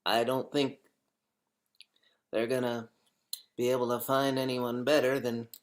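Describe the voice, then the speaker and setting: Slow-talking rambling man. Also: medium pitch, level tone. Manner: halting, rambling, full of fillers and restarts. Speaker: singer-songwriter hosting a home stream. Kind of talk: casual rambling chat.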